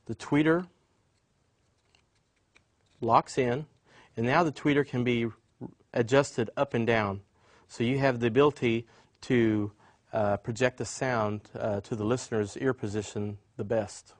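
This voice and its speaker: A man with a medium-pitched voice gives an instructional product demonstration. He explains each point step by step in the way of a trainer showing how something works. The recording is dry, with very little room sound. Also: steady tone, a little slow, long pauses, dry recording.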